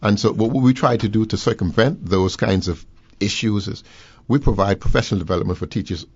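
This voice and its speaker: A man from the Bahamas, a guest on education, speaking in a deliberate, formal, lecturing way. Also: on the low side, breathy edge, dull audio. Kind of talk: measured talk radio discussion.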